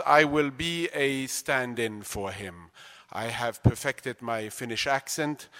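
Brief: male event host, bright forceful tone, effusive and formal, long hall reverb. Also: medium pitch. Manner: effusive, formal with playful asides. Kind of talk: stage introduction and reading. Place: large library event hall. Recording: reverberant auditorium.

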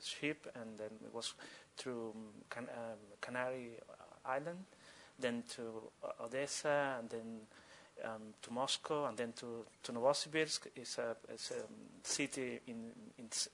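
A male author with a Cuban accent, a native Spanish speaker, talks off the cuff at a live event. He often repeats words and breaks off phrases, in a mid-pitched, creaky voice. Faint room noise sits behind him. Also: fairly even intonation, a little slow, few pauses, a bit bright, low-quality recording. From Cuba. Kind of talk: spontaneous answers at a live literary talk.

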